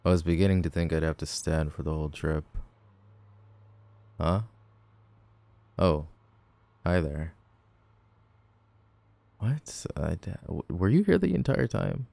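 Male actor as teen delinquent boy, low gravelly voice, sarcastic, teasing, grumpy, audio roleplay. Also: lively intonation, takes it slowly, long pauses, mellow, no room sound, full character voice. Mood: casual, aloof. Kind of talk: boyfriend ASMR audio roleplay.

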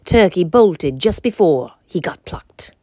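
A woman reading a children's story aloud as narrator, in an expressive, dramatic manner. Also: on the low side, takes it slowly, no room sound, studio quality.

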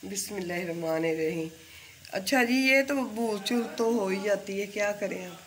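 A woman's voice: mid-range voice, normal speed, a little hiss or hum. Homely middle-aged woman chatting while cooking. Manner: homely, plain-spoken, interjecting. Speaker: host's mother, the cook. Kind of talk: casual chat while cooking. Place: home kitchen.

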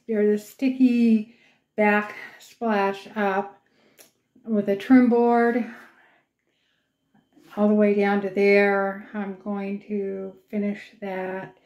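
A middle-aged woman vlogger casually walking through a home DIY project in a matter-of-fact, unhurried way. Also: mid-range voice, level tone, a little mellow. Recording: roomy echo with music.

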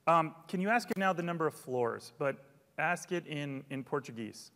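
A man's voice: fairly high pitch, expressive, moderate pace, a bit bright. A man in his thirties presenting a product launch keynote on a large auditorium stage, upbeat and theatrical. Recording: long hall reverb.

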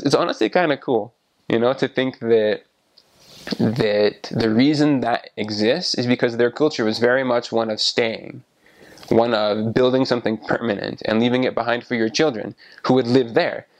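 A man in his twenties talking casually to the camera about his missionary experience, relaxed and often restarting his sentences, recorded on a lapel mic in a small room. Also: medium pitch. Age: 20s. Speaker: returned missionary. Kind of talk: casual explanatory talk to camera. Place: small indoor room by a window.

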